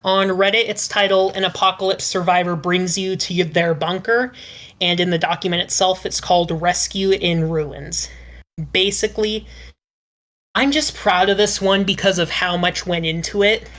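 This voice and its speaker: Female podcast panelist, reflective writer; lowish pitch, crisp bright edge, casual Q&A delivery. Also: level tone, normal speed.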